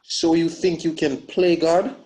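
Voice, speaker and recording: A man's voice: a little high, flat delivery, measured pace, warm tone, lightly acted, poor audio. A man playing a grieving, vengeful father in a dramatic script table read, speaking in terse, brooding lines. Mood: menacing.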